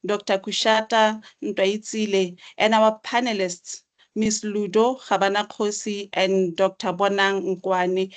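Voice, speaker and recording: A woman delivering formal, rehearsed welcome remarks at an online webinar. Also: mid-range voice. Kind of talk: formal read-out speech.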